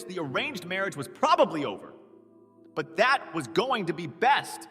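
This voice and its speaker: A man playing a nervous, earnest, lovesick twenty-four-year-old in an animated comic dub, narrating his own self-doubting thoughts. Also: high-pitched, in a large hall, heavily acted. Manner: earnest, self-doubting inner monologue with startled outbursts. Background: background music.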